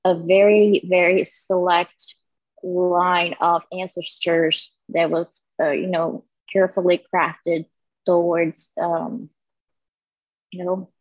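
A woman guest answering interview questions in a playful, deadpan way with many fillers. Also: on the low side, takes it slowly, no echo, dull audio.

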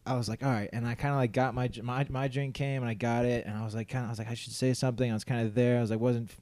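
A young man with a mellow, relaxed voice chats casually on a podcast. He rambles through his stories with plenty of "like" and restarts, and acts out what he was thinking. The sound is dry and close. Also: medium pitch, racing, dry recording. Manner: rambling storyteller, many fillers and restarts; laughs often, acts out his own quoted thoughts. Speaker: podcast co-host. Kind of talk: casual chat.

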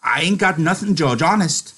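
A man's voice: fairly high pitch, expressive, normal speed, fairly bright tone, husky, voice pushed up, heavily acted. Man playing a childlike, stammering big ranch hand. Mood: sheepish. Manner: stammering, repetitive, naive. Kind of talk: dramatised novel reading.

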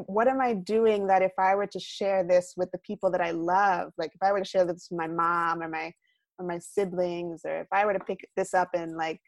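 A young woman from the United States, a guest artist, talking reflectively in an interview and often trailing off quietly. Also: medium pitch, dry recording. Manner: thoughtful, many fillers and restarts, trailing off quietly.

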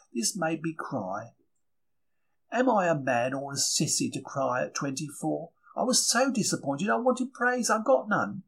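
A man voices a young working-class bookseller of the 1930s telling his diary. His voice is fairly high and rough-edged. He is chatty and plucky, wry and quick to take things to heart, with emphatic, colloquial phrasing. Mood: hurt. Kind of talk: dramatic first-person diary reading.

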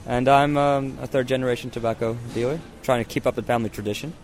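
A man answering interview questions in a thoughtful way, pausing now and then with small hesitations, at an outdoor cafe. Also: mid-range voice, fairly even intonation.

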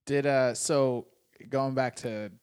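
A man's voice: mid-range voice, steady tone, slow pace, a little mellow, smooth. A man hosting a casual podcast interview, laid-back and joking, asking rambling questions. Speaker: podcast host.

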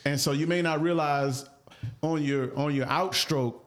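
A man's voice: a little high, fairly even intonation, unhurried. A man co-hosting a podcast, talking in blunt, profane casual chat and laughing through his stories. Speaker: podcast co-host.

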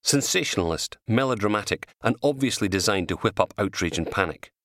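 A male author narrates crime fiction in a low-pitched voice. His delivery is scripted yet very animated in intonation. The recording is dry, with hardly any room sound. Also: a little fast, no room sound.

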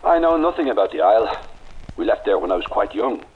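An actor playing a wary, defiant rebel leader awaiting execution, with a clipped, bitter manner. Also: male voice, on the low side, big pitch swings, fast, narrow, tinny audio, slight background noise. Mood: guarded. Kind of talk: radio drama acting.

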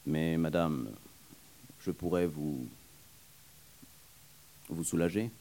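A man voicing a shy young man who speaks low and haltingly. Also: fairly even intonation, warm tone, exaggerated voice. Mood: flustered.

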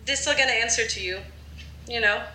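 A woman's voice: fairly high pitch, a little fast, sharp tone. A young woman from France with a French accent, chatting casually on a podcast and hedging her points with fillers. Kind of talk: casual chat. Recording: roomy echo.

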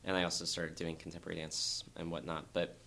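A young man, a dancer, talking earnestly and thoughtfully in an interview, with a very low voice and frequent restarts. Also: flat delivery, quick pace, vocal fry, bright, forceful tone. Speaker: dancer guest. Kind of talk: reflective interview talk.

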